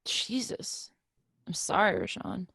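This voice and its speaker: A woman's voice: medium pitch, huge pitch swings, takes it slowly, gravelly, a bit bright, breathy edge, dry recording, full character voice. A woman reading the part of a jaded, despairing young woman in a play, blunt and weary, swearing freely. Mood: flat, weary. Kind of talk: play reading over video call.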